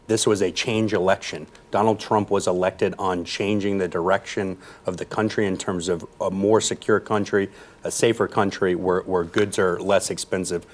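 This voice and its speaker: A man's voice: low-pitched, steady tone, moderate pace. A man giving political commentary as a panelist, in a confident, talking-point delivery.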